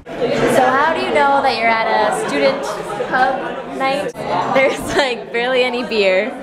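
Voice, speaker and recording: A woman's voice: high-pitched, unhurried, over loud music, a loud crowd. A young woman chatting and laughing as she films herself on a foggy hike, talking to friends off camera. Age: young adult. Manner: chatty, giggly, self-narrating. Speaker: vlogger. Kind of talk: casual travel vlog. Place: outdoors on a foggy mountain hike, later a pub and car. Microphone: handheld camera held at arm's length.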